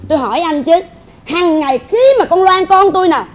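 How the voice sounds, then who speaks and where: A very high-voiced, brash middle-aged southern Vietnamese mother-in-law in a stage comedy. She exclaims, boasts and repeats herself theatrically, over clear audience noise. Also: animated, fairly quick, a crowd in the background. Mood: boastful. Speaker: comic stage actress. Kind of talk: broad stage comedy acting. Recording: live stage with loud audience.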